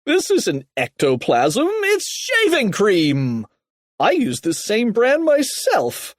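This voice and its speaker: A narrator, a man, plays a grumpy school principal in a children's audiobook. He yells in a raised, blustering voice, impatient with everyone around him. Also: sits high, wildly swooping pitch, slow, no room sound, studio quality, pitched above the performer's own voice, heavily acted. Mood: dismissive. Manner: yelling, gruff.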